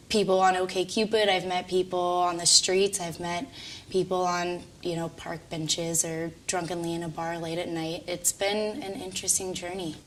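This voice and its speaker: A woman's voice: on the low side, fairly bright tone, slight background noise. Chatty woman heard from a distance. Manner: casual, chatty. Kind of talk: documentary interview.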